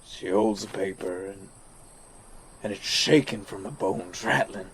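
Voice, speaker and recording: An actor playing a haunted American soldier, telling his story in a halting, stammering dramatic monologue with an American accent. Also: deep voice, slight background noise. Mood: tense, hushed. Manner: halting, stammering, repeats words. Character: haunted male American soldier recounting a deadly standoff. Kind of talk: dramatic first-person monologue.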